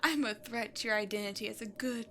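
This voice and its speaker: A woman playing an imperious, scheming demon queen in a dramatic audio roleplay, seductive and easily exasperated, moving between outbursts and breathy near-whispers. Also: a little high, fast talker, a bit bright, slight background noise. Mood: knowing, seductive. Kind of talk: scripted audio roleplay, theatrical monologue to the listener.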